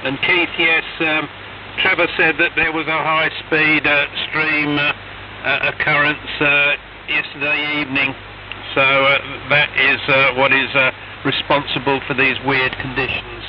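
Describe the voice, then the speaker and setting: Radio ham over noisy shortwave. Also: male voice, fairly high pitch, flat delivery, highs cut off. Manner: hesitant, halting technical explanations. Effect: shortwave radio transmission. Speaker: amateur radio operator. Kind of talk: casual radio net chat. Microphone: radio transceiver microphone heard over HF radio. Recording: noisy, band-limited shortwave radio reception.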